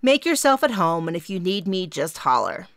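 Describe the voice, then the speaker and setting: A woman's voice: lowish voice, very animated, fairly bright tone, no room sound, studio quality. Woman doing a bubbly chatty young receptionist from Minnesota. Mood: cheerful. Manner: fast, clipped, round vowels, bouncy.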